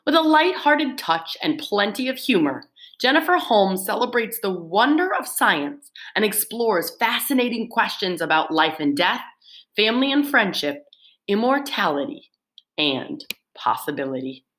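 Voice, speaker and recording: Woman teacher reading to students, animated and expressive, in a middle pitch. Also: measured pace. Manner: lively, expressive read-aloud with swooping pitch. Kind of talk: reading book summaries aloud to students.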